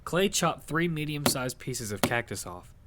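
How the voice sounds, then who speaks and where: A man's voice: mid-range voice, expressive, measured pace, heavy background noise, natural voice. A man narrating an audio fiction survival story in character, as one who tells of a battle. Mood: storytelling.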